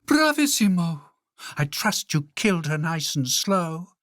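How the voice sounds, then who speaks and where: A man voicing a spoiled, vain and vicious queen who screeches her lines in a high, loud voice. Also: very animated, measured pace, dry recording, clean studio sound. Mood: gleeful.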